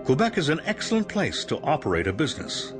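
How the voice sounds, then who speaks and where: A man's voice: a little high, huge pitch swings, fast talker. A man reading polished, upbeat promotional narration. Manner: polished, upbeat announcer delivery. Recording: voice-over over music.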